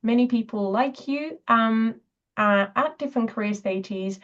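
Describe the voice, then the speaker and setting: A woman from Finland speaks English as a second language while chairing a video-call webinar. She pauses on fillers now and then. The audio sounds dull and lo-fi. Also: on the high side. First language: Finnish. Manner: hesitant, with frequent fillers. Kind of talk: online webinar presentation.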